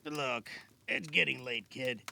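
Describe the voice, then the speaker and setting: Man playing a gravelly, gruff older healer. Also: mid-range voice, animated, slow pace, sharp tone, heavily acted. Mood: guarded, relaxed. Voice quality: gravelly, creaky. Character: gruff older male healer and storyteller, guarded but kindly. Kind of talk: amateur audio drama acting. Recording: faint background noise.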